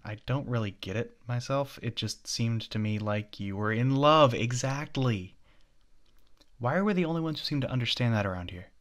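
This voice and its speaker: A man in an ASMR roleplay playing an obsessive, possessive young stalker, with an intimate, halting delivery that slips into breathy whispers. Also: fairly low pitch, fairly bright tone.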